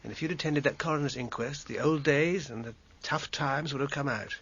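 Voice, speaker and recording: Husky, defensive British composer. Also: male voice, a little high, a little fast, fairly bright tone, lo-fi sound. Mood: earnest, insistent. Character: struggling hack composer, defensive, articulate, evasive brother. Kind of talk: radio drama acting.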